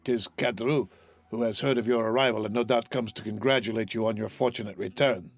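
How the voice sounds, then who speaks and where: Man voicing a gentle, humble old father. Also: lowish voice, steady tone, faint music behind. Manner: halting, mild.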